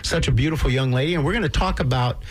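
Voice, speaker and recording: A man hosting a community radio show, who interviews his guests with enthusiasm, makes puns and speaks warmly. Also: medium pitch, very fast, husky. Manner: warm, punning, enthusiastic interviewer.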